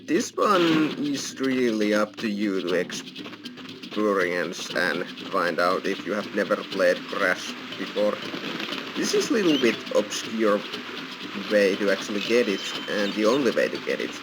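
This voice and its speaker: A man's voice: on the low side, very animated, unhurried, very noisy. A man giving casual walkthrough commentary as host of a gaming video. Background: loud game music.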